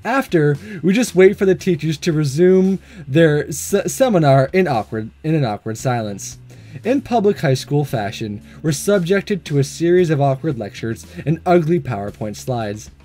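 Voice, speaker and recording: A man with a fairly high, very animated voice reads through a visual novel as a let's-play. He is playful and excitable, joking at his own expense, and music plays softly under him. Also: normal speed. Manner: playful, excitable, self-deprecating. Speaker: gaming video host.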